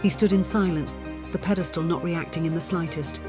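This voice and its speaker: A woman's voice: on the low side, a little fast, a little mellow. A woman reading scripted fiction as a dramatic audiobook narrator. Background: music.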